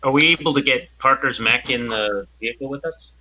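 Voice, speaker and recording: Male tabletop player, mid pitch, piercingly bright tone, casual chat. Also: a little fast, slight background noise, low-quality recording.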